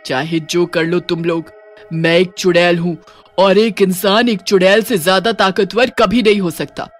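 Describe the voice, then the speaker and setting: A man's voice: sits high, steady tone, measured pace. A man voicing a vengeful, menacing female witch with a heavy voice in a horror story, music behind. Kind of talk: animated horror story dialogue.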